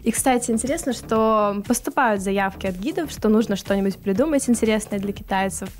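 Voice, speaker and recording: A woman's voice: mid-range voice, a little fast, soft background music. A young woman in her twenties, a guest in a studio interview, talking cheerfully and laughing often. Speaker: guest, tourism project author. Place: radio studio. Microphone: studio mic on stand.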